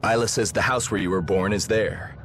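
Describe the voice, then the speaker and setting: A man's voice: on the low side, fairly even intonation, brisk, fairly bright tone, slight background noise, close to an everyday voice. A man voicing an earnest, courteous grown man in an anime game, with a polite, sincere manner. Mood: informative. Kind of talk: anime game dub acting. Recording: music behind.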